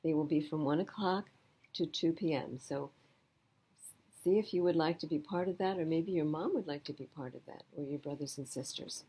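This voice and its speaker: Woman storytime reader: lower pitch, husky tone, measured and plain-spoken for young listeners. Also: dark tone. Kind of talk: children's storytime narration and announcements.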